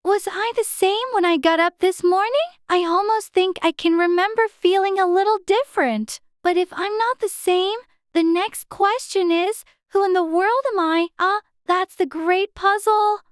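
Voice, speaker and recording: A woman's voice: normal speed, few pauses, no room sound, clean studio sound. A woman voicing a curious little girl who chatters and exclaims to herself in a dramatized storybook audiobook. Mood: puzzled. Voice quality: childlike, very high voice. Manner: talks to herself, musing and exclaiming.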